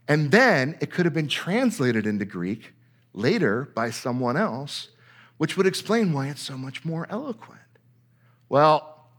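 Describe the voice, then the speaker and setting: A man preaching a teaching sermon, reading scripture aloud and then explaining it, with emphatic repetition. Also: mid-range voice. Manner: emphatic, repeats words for stress.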